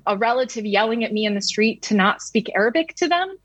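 A woman's voice: on the high side, expressive, a little fast, a bit bright, slightly rough, no echo, lo-fi sound. A woman in her thirties from the United States, a news reporter speaking candidly and conversationally. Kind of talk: interview recollection.

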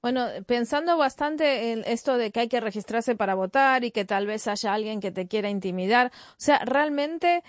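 A woman's voice: on the high side, animated, normal speed, few pauses, dry recording, studio quality. A woman with an Argentine accent asking questions conversationally as an interviewer.

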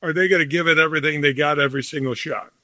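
A man's voice: fairly high pitch, fairly even intonation, very fast, bright, forceful tone, dry recording, clean studio sound. A man in his sixties hosting a casual interview, asking friendly questions that ramble and restart. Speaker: host.

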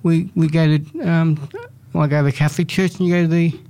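A woman's voice: low-pitched, fairly even intonation, brisk, warm tone. A woman chatting on a radio show, speaking hesitantly with many fillers and restarts. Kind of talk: casual radio chat.

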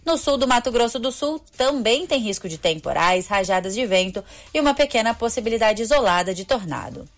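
A woman with a Brazilian accent delivers a scripted weather forecast. Her voice sits a little high, and her reading stays steady and even throughout. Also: brisk, few pauses. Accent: Brazilian Portuguese. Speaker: weather reporter.

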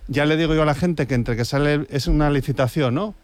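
A man's voice: on the high side, brisk, no echo. A man on a discussion panel, explaining things casually and sceptically.

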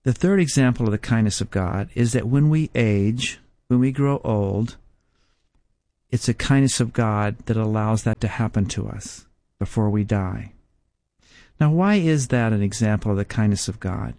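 A man in his fifties giving a sermon as a Bible teacher, speaking slowly and emphatically with repeated rhetorical questions. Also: on the low side, warm tone, no echo. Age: 50s.

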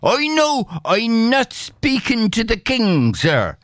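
A man acts out a poor Irish peasant from a storybook, high and excitable. He exclaims in rustic turns of phrase, defiant and swinging widely in pitch. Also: slow pace, dry recording, clean studio sound, full character voice. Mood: bold, defiant.

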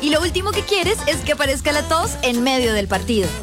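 A woman reading a scripted medicine commercial in a brisk, polished manner. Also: high-pitched, sing-song. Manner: brisk, polished ad read with fast disclaimer. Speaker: ad announcer. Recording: commercial with music bed.

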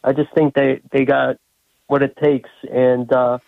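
A man's voice: mid-range voice, flat delivery, a little slow, creaky, no echo. A man calling a sports radio show by phone, casually breaking things down with hesitant, filler-heavy phrasing. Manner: hesitant, says "you know" constantly. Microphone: phone call. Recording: tinny, narrow phone line.

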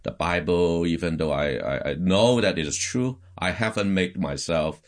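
A middle-aged pastor from Hong Kong, telling his life story in a casual interview, halting with many restarts. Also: low-pitched. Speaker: pastor and podcast guest.

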